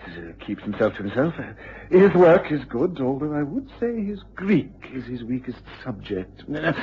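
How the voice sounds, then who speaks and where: A man's voice: mid-range voice, close to an everyday voice. An actor playing an anxious, polite male college tutor in a radio drama, formal, earnest and hesitant. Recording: muffled, noisy radio drama recording.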